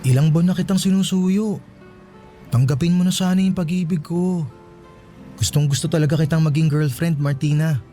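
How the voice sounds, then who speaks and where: A man's voice: on the high side, steady tone, normal speed, mellow, soft background music, lightly acted. A Filipino man playing a lovestruck, persistent teenage suitor in a radio drama, earnest and pleading.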